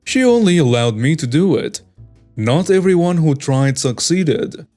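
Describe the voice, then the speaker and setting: A man's voice: on the high side, lively intonation, unhurried, mellow. Man narrating stories over faint music. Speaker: story narrator. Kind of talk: scripted narration of online stories. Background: faint background music.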